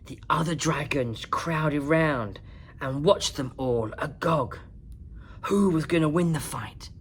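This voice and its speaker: A woman narrating a children's picture book in an expressive, dramatic, singsong way, like a principal at story time. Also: low-pitched, slow, a little hiss or hum. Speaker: school principal reading to children.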